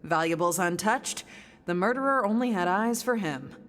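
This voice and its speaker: A woman's voice: medium pitch, expressive, moderate pace, fairly bright tone, long reverb tail, close to an everyday voice. A woman voicing a casual, wry mercenary in a video game, offhand and slangy.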